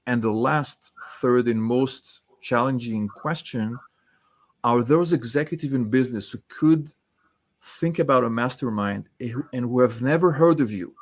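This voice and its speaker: Guy from france, french native, calm guest laying out his points step by step. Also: mid-range voice. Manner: measured, structured, poses questions. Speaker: author and guest. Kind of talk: interview answers.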